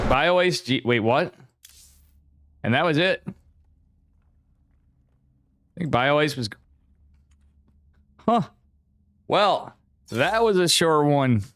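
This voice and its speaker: A man's voice: mid-range voice, slow pace, pauses often, fairly bright tone, slight background noise. A man with an American accent from upstate New York, chatting casually and rambling with viewers as he hosts and commentates a game livestream. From upstate New York, United States. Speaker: esports caster and stream host.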